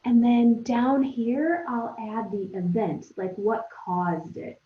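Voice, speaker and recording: High-voiced woman teacher in an echoey room. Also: slow pace, slight background noise. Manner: conversational, expressive teacher talk addressed to young pupils. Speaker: elementary teacher. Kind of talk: read-aloud lesson for children, thinking aloud. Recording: roomy, echoing, dull lo-fi sound.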